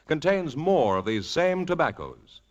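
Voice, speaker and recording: A man's voice: a little high, sing-song, measured pace. A man working as a radio announcer, reading a sponsor advertisement in 1950s style. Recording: dull vintage radio recording.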